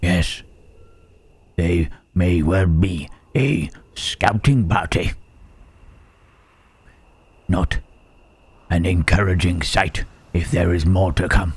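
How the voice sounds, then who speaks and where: Man acting wary gentle creature, very slow, low-pitched, hushed, halting, old-fashioned wording, intimate ASMR roleplay. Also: slightly rough, exaggerated voice. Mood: thoughtful, grave. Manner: halting, formal archaic phrasing, questioning "huh".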